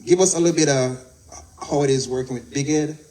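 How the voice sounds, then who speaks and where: A man's voice: medium pitch, fast, darkish tone, low-quality recording. Man interviewer, rambling questions. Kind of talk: casual interview.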